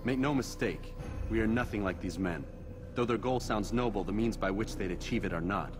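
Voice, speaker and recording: A man's voice: on the low side, fairly quick, natural voice. A man playing a grave, proud assassin in a video game, speaking tersely and earnestly over music. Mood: resolute. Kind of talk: dramatic video game dialogue.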